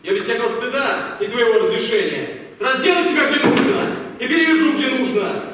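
A man's voice: very high voice, lively intonation, brisk, a bit bright. A man in an amateur audio play acting a brusque, commanding army captain who gives curt orders. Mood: stern, commanding. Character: brusque commanding male army captain drilling young recruits. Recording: echoey hall, distant mic, faint noise.

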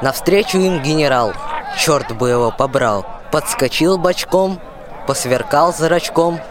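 Young man as a slow folk storyteller. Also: a little high, animated. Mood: storytelling. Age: young adult. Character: folksy rhyming storyteller of a folk tale. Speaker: narrator, school student actor. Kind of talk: theatrical folk-tale narration. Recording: noisy crowd hubbub behind.

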